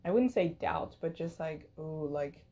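Young woman, podcast co-host, low soothing voice, laid-back dorm-room talk. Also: measured pace. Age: young adult. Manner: relaxed, agreeable, many backchannels. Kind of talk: casual chat.

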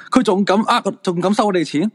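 A man's voice: high-pitched, a bit bright. A man playing a blunt, sceptical husband and swimming coach in a radio drama, with a Hong Kong Cantonese accent.

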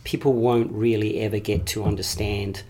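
A man's voice: on the low side, level tone, normal speed, a little mellow. Earnest man talking in circles. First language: English. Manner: earnest, repeats phrases while building a point. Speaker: guest. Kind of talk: reflective conversational interview.

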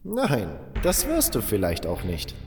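A man's voice: fairly low pitch, wildly swooping pitch, unhurried, a little mellow, long reverb tail, faint music behind, exaggerated voice. A man voicing an old, weathered, resigned miner with a fatherly, plain-spoken manner in an audiobook. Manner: seasoned, plain-spoken storyteller, addresses listener as a youngster. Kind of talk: audiobook character voice.

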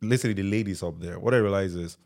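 A man's voice: deep voice, fast talker, a little mellow. A man with a Nigerian accent, a podcast co-host chatting casually and often checking in with "right?". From Nigeria (Delta). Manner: tags remarks with "right?", easygoing. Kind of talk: casual chat.

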